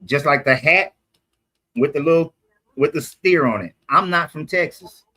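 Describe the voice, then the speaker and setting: A man's voice: on the high side, moderate pace, pushed and bright. Argumentative man from Atlanta. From Atlanta, USA. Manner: argumentative, explains at length, self-correcting. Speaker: street debater. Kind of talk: casual street conversation.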